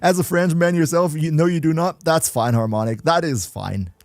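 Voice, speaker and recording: A man's voice: a little high, normal speed, no room sound, studio quality, soft background music. A young German man with a German accent, hosting a casual gaming livestream, chatting with viewers and reading the chat between laughs. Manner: chatty, reads and answers chat, laughs often. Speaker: livestream host.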